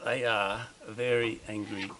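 A young Australian man in a relaxed, friendly casual chat, recorded outdoors on a small river boat by an action camera. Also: fairly low pitch, unhurried. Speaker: fishing vlogger. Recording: distant mic, outdoor noise.

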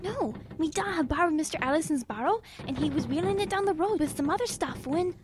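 A woman playing an urgent, excitable wartime boy in a radio drama. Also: very high voice, a little fast. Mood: excited, breathless.

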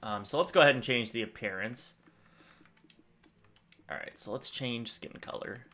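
A man's voice: medium pitch, moderate pace. A young man hosting a gaming channel, thinking aloud in rambling casual commentary while he plays, recorded at a desk in a small room at home. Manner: rambling, thinking aloud, lively swings in loudness.

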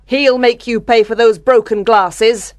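A woman's voice: a little high, fairly even intonation, a little slow, voice pushed up, natural voice. A woman voicing an angry, stern tavern landlady in a storybook reading. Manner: demanding, stern.

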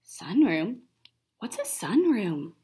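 A woman's voice: mid-range voice, sing-song, slow, dark tone, breathy edge, exaggerated voice. A young woman voicing a cocky, chatty male pet hamster in a storybook, self-satisfied and asking and answering himself. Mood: wondering.

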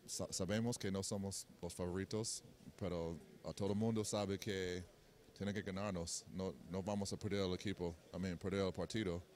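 A man whose first language is English answers in simple, halting Spanish into a handheld mic in a basketball arena. His voice sits slightly low, and he pauses often to find his words. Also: fairly even intonation, a little mellow, a little hiss or hum. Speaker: foreign basketball player. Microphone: handheld mic held by interviewer.

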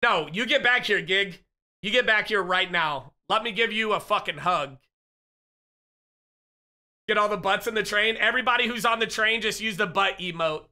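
Excitable, bright-voiced streamer losing his voice. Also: high voice, normal speed. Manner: loud, excitable, profane shout-outs to chat. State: losing his voice, getting sick. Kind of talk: casual live-stream chat. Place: home streaming room. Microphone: headset.

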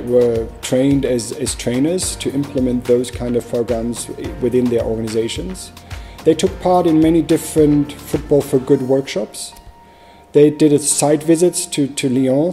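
A middle-aged man with a very dark, mellow voice explains calmly in an office interview. Soft music plays quietly under his words. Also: medium pitch. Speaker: partnerships director. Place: indoor office.

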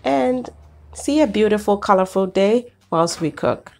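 A woman's voice: mid-range voice, animated, a little slow, vocal fry, a little mellow. A middle-aged woman from Ghana with a Ghanaian accent, chatting through a cooking demonstration outdoors on a backyard patio, explaining each step as she goes. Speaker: cooking channel host. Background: sizzling pan, birds.

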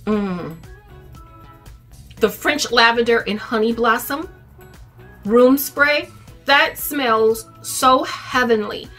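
Casting: middle-aged woman, bright forward voice, casual home haul-video chat, music underneath. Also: on the high side, slow, long pauses. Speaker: vlogger. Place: furnished living room at home, indoors.

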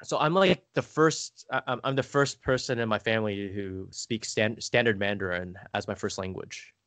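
A man telling family stories casually as a podcast guest, halting and rambling, saying "like" often. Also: lowish voice, fairly bright tone, clean tone, no echo. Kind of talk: casual conversational storytelling.

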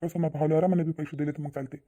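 Man voicing a very dark-toned, fast-talking village father. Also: a little high, little pitch movement, dull audio, close to an everyday voice. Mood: hesitant, worried. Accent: Vidarbha Marathi. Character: troubled, poor, middle-aged village father, insistent. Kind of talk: Marathi cartoon voice acting.

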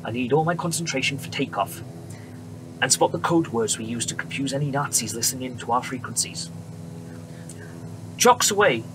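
An actor playing a friendly, assured wartime bomber pilot with a British accent, brisk and reassuring, in a radio drama. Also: male voice, medium pitch, animated, natural voice. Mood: brisk, businesslike. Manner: brisk, reassuring, polite officer. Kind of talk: period radio drama acting. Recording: noisy aircraft engine background.

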